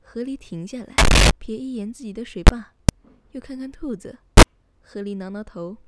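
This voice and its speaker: A woman's voice: fairly high pitch, normal speed, heavy background noise. A woman radio host reading a scripted bedtime story in a soothing narration style.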